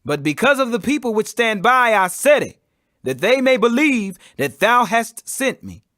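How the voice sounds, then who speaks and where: A man's voice: very high voice, very animated, moderate pace, pushed and bright, dry recording, clean studio sound, pitched above the performer's own voice, heavily acted. A man voicing a fervent, authoritative holy teacher with commanding declamation in a dramatized scripture reading. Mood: prayerful.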